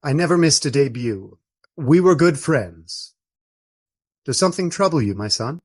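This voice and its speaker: A man acting a courteous former baron turned friar in his fifties, speaking gently and formally in a radio play. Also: fairly high pitch, lively intonation, moderate pace. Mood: wistful, earnest.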